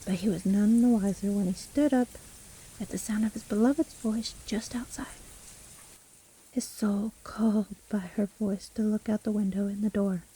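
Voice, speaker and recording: A woman playing a sly, teasing witch storyteller in a fantasy audio roleplay, speaking in a soft, breathy, theatrical and condescending way. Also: mid-range voice, fairly even intonation, moderate pace, rough recording. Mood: ominous, hushed. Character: sly, gloating witch storyteller toying with her trapped victim.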